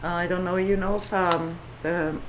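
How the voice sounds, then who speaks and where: A woman's voice: on the low side, a little slow, background noise. A woman from Switzerland with a Swiss accent, a guest telling stories in a casual podcast interview. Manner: animated storytelling with quoted dialogue. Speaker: guest, non-profit founder.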